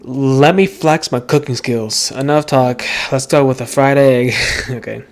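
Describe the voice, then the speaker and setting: A game streamer giving casual, halting let's-play commentary and reading dialogue aloud. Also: medium pitch, a little mellow. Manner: halting, hesitant.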